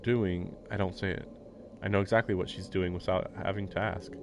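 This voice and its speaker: A man's voice: low-pitched, fairly even intonation, brisk, noisy background. A man streaming a visual novel, reading the text aloud and adding casual commentary. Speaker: game streamer. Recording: game music behind the voice.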